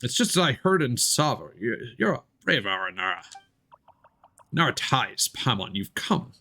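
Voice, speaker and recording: A man voicing a wise, gentle old forest spirit guardian from a video game, with formal, measured speech. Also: medium pitch, very animated, heavily acted. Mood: proud.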